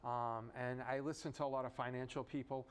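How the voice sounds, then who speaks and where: A man's voice: mid-range voice, fairly even intonation, brisk, smooth. A man co-hosting a casual political chat, voicing strong opinions and throwing out rhetorical questions. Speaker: talk show co-host.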